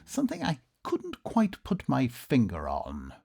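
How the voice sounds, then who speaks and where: An actor playing a curious, polite Victorian nobleman in a radio play, melodramatic and genteel, with a husky, breathy voice. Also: medium pitch, wildly swooping pitch, unhurried, a little mellow, heavily acted. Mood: wondering, suspenseful. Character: curious, polite Victorian nobleman narrating his eerie adventure. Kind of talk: theatrical radio drama narration and dialogue.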